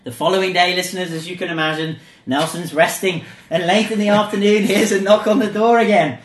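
A man's voice: sits high, steady tone, brisk. A man hosting a podcast, chatting playfully and reading a joke aloud. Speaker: podcast host. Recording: roomy echo.

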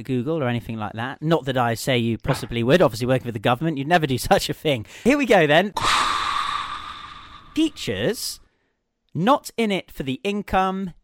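A man hosting a radio show, chatty and quick to laugh, often stopping to correct himself. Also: mid-range voice. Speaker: radio host. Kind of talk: casual radio chat.

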